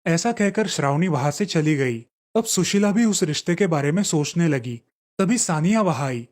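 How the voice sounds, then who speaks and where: A man's voice: a little high, animated, moderate pace, darkish tone. A man narrating a scripted children's story.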